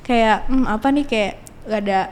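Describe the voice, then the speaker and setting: A teenage girl chats easily as a podcast guest, with lots of fillers and quick laughs. A faint hiss runs under her voice. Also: a little high. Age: teens. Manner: chatty, laughs often, many fillers. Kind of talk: casual podcast chat.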